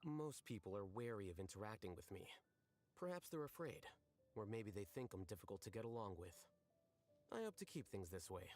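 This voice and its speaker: An actor playing an aloof, bookish young male scholar in a video game, speaking in a measured, precise, deadpan way. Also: fairly low pitch, soft background music. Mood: detached, wry. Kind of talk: scripted video game voice lines.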